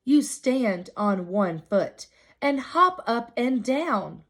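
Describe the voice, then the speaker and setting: A woman's voice: a little high, very animated, slow, exaggerated voice. A woman voicing a helpful female friend in a storybook, giving advice. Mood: instructing.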